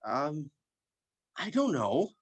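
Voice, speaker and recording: A woman's voice: low voice, big pitch swings, husky, full character voice. A woman playing an earnest, easily flustered kid writing a story in a radio play. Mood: hesitant, earnest. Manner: narrates haltingly, easily flustered. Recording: noisy recording with clicks.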